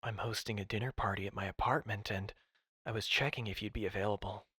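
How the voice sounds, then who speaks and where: A man's voice: on the low side, steady tone, quick pace, fairly bright tone, husky, dry recording. A man voicing an arrogant, demanding male chef while reading game dialogue aloud. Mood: smooth.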